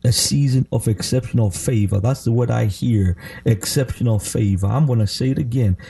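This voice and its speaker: A man's voice: mid-range voice, normal speed, creaky, warm tone, breathy edge. A man preaching a prophetic charismatic sermon, emphatic and exhorting, repeating phrases for effect and calling on listeners to respond. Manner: exhorting, declaratory, calls for responses.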